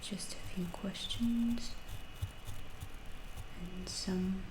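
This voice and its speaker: A woman playing a calm, curious alien scientist in an ASMR roleplay, speaking softly and often in a whisper, with a detached, clinical, reassuring manner. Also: medium pitch, drawn-out words. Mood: soothing, hushed. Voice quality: soft, breathy, often whispered. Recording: roomy echo, clear background noise.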